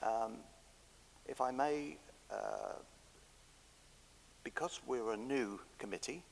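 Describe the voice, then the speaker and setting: A man's voice: mid-range voice, wildly swooping pitch, takes it slowly, gravelly, slight background noise. An English man with a hesitant, filler-heavy way of speaking, chairing a council committee in a council chamber. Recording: reverberant chamber, table mic.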